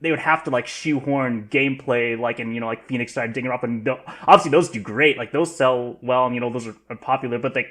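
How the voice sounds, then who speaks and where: Man, average pitch, casual podcast talk, hesitant rambling delivery, circles back over his words. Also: fairly bright tone, a little hiss or hum. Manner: halting, rambling, repeats himself. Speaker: podcast panelist. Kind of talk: casual chat.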